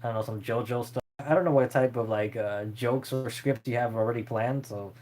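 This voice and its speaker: A man's voice: medium pitch, fairly even intonation, brisk, a little mellow. A man chatting casually and unscripted as a streamer answering viewer questions, in a rambling, hesitant way.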